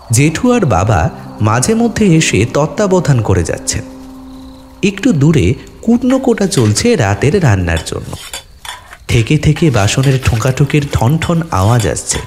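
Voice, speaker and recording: A man narrating a scripted, atmospheric first-person story in a deliberate, literary, expressive manner. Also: mid-range voice.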